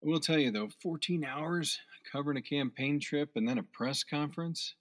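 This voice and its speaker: An actor in a radio play voicing a cocky government agent who becomes increasingly rattled. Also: male voice, on the high side, moderate pace, fairly bright tone, lightly acted. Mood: weary, irritable.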